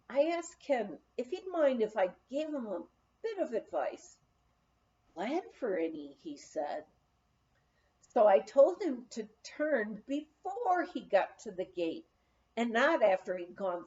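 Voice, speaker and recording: Female audiobook reader for a memoir: animated intonation, slow expressive delivery, slightly muffled sound. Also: fairly high pitch. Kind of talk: scripted narration of a humorous memoir, read aloud.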